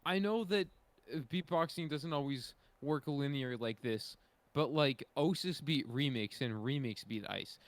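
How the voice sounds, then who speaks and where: A man's voice: mid-range voice, a little slow, no room sound. A man chatting casually and giving his opinions as a panelist on a podcast.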